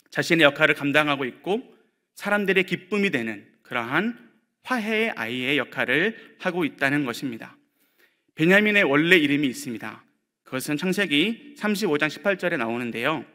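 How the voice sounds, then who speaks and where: Bright-voiced male pastor preaching warmly. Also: fairly high pitch. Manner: conversational preaching with light humor and frequent filler pauses. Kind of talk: sermon.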